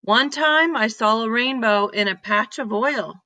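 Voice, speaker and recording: A woman voicing a loud, excitable, bossy young girl detective in a children's storybook. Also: fairly high pitch, a little slow, no echo. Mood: eager, curious. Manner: bossy, exclamatory.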